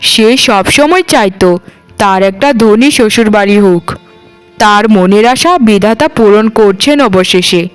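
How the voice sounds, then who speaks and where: A woman's voice: mid-range voice, unhurried, smooth, faint music behind, close to an everyday voice, rough recording. A woman playing a dutiful, sensible housewife, the eldest sister-in-law, speaking earnestly in scripted cartoon dialogue. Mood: pleased, reassuring.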